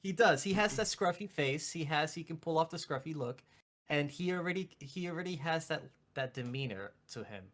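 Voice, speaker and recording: Guy cohosting a podcast, excitable, just chatting, says stuff twice for emphasis. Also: a little high, a little fast. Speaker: podcast co-host. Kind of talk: casual chat about video game movies.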